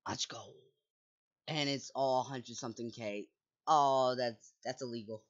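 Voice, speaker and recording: A woman's voice: very deep voice, fairly even intonation, unhurried, highs cut off. A woman hosting a gaming channel, chatting playfully and teasing a friend while they play. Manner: playful, teasing, gasping and exclaiming. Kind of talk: casual gameplay chat with a friend.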